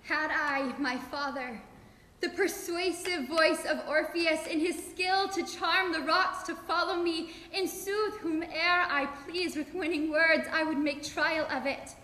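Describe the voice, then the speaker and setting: A woman's voice: extremely high pitch, steady tone, measured pace, pushed and bright, voice pushed up, full character voice. A young woman performing a Greek princess who begs her father for her life in a declamatory stage monologue. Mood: solemn pleading. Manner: declamatory, pleading. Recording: echoey reverberant hall.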